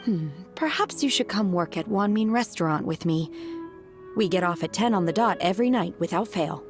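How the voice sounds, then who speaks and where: A woman voicing a calm, detached young woman disciple who speaks in a cool, matter-of-fact way. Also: medium pitch, normal speed, over music, natural voice.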